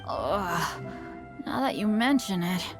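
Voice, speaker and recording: A woman's voice: on the high side, lively intonation, unhurried, music in the background. A woman voicing a kind, hapless, optimistic teenage boy adventurer in a video game, earnest and hesitant. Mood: groggy.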